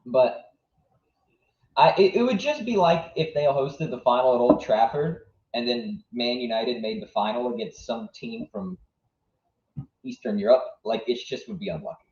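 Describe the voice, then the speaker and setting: Young American man, animated swooping pitch, rambling casual match commentary, livestream host, dull roomy recording. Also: moderate pace. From southwest Virginia, United States. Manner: rambling, thinking aloud, answering chat. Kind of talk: casual live match reaction and chat. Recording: dull, echoey room sound.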